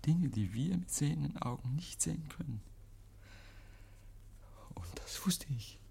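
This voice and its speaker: An actor playing a deranged, guilt-ridden murderer in 1950s German radio drama, halting and repeating himself, given to breathless whispers and shrieks. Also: male voice, on the high side, animated, creaky, dark tone, exaggerated voice. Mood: haunted, insistent. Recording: noisy 1950s radio recording.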